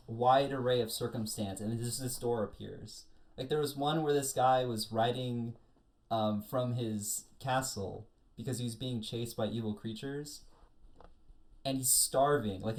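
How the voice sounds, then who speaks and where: A male podcast co-host with a voice of middling pitch. He talks casually about anime and tells stories in an animated, conversational way.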